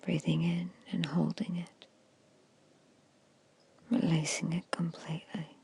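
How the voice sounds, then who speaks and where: A woman guiding an ASMR meditation in a hushed, soothing, breathy whisper with short, measured phrases. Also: lowish voice, flat delivery. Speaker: hypnosis and meditation guide.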